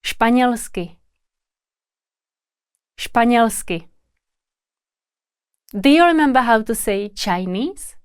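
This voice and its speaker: A woman teaching a scripted language lesson, repeating each word slowly with pauses. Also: on the high side, lively intonation, smooth, no echo, studio quality. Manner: repeats each word slowly and deliberately, pausing between repetitions.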